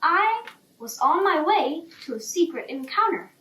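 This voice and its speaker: A woman's voice: very high voice, very animated, measured pace, bright, forceful tone, voice pushed up, exaggerated voice. A woman voicing a secretive, worried, blustering male elephant in a children's bedtime story. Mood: coy. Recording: roomy, distant, faint background noise.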